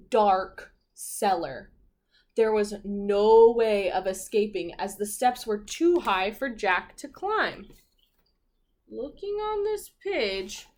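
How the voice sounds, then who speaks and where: A woman's voice: mid-range voice, slight background noise. A woman teacher reading a picture book to young children, expressively, with chatty asides and questions. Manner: expressive, pausing to comment on pictures and prompt children. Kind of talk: storybook read-aloud with asides and questions to young listeners.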